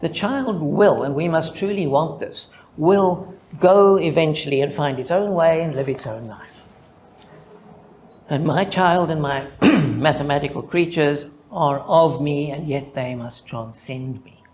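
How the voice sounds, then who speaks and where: An older man with a Zimbabwean accent, a mathematician reading a prepared conference paper in a deliberate, reflective way, building rhythmic lists. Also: a little high, a little mellow, a little hiss or hum.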